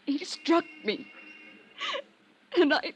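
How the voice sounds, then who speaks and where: A woman's voice: high-pitched, animated, very slow, a bit bright, exaggerated voice. An actress playing a dazed, frightened woman in her late twenties in a 1950s radio drama, faltering and pleading breathlessly. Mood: shaken, tearful. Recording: vintage radio recording with faint noise.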